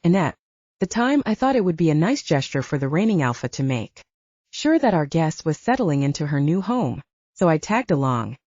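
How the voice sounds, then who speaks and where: Female audiobook narrator, soft dark tone with lively pitch movement, close dry sound. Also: dry recording, muffled recording. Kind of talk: scripted first-person fiction narration.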